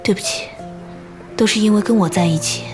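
A woman's voice: medium pitch, fairly quick, big-room sound, natural voice. A woman playing a guilt-ridden woman torn by love in a Chinese audio drama, hesitant and subdued. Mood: guilty, tender. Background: prominent background music.

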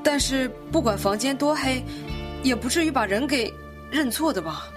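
A woman's voice: high-pitched, animated, normal speed. A woman playing a composed, inquisitive amateur investigator who speaks precisely in a radio drama. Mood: analytical, probing. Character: composed, inquisitive woman analyzing a murder case. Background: music.

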